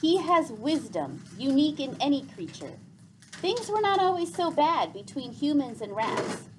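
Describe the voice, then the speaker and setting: A woman voicing a wise, gentle old man in a storybook, speaking in a grave, formal and measured way. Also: high voice, sing-song, slight background noise, voice pushed up, rough recording. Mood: earnest. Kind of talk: storybook read aloud.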